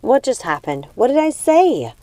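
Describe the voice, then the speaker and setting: A woman's voice: high voice, moderate pace, slight background noise, pitched above the performer's own voice. A woman voicing an eager, bookish young witch in a storybook, with an earnest, questioning manner. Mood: worried, confused. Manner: excitable, questioning.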